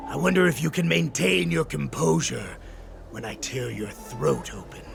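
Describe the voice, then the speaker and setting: An actor playing a savage, fanatical wolf-like raider in a video game, with a snarling, theatrical delivery. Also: male voice, a little high, soft background music. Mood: threatening. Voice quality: heavily gravelly, snarling. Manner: slow, theatrical, swinging into shouts. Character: savage wolfish raider, bloodthirsty, fanatical servant of his lord. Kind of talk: dramatic video game voice acting.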